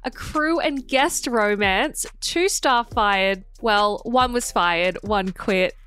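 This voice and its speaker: A woman hosts a podcast with an upbeat, gushing delivery. Her voice sits a little high as she reads her intro and asks questions. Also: a bit bright, clear, resonant tone. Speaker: podcast host. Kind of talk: scripted intro then interview.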